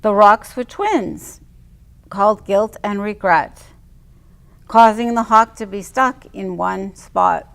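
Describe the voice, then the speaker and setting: Slow, creaky-voiced older woman. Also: mid-range voice. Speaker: poetry show co-host. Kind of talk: poetry reading and casual chat.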